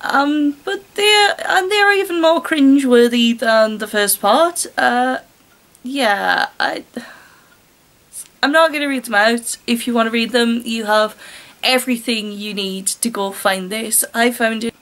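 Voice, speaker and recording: A woman's voice: fairly high pitch, measured pace, a bit bright. A British woman reading a story aloud with giggly, incredulous, sarcastic asides. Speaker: reader and commentator.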